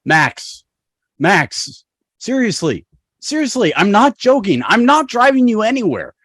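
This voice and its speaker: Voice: mid-range voice, sing-song, measured pace, fairly bright tone, slightly rough, no room sound, studio quality, full character voice. An actor playing an exasperated, reluctant young man who protests and stammers, in a fan table read over a video call. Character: exasperated, reluctant young male babysitter, defensive and flustered.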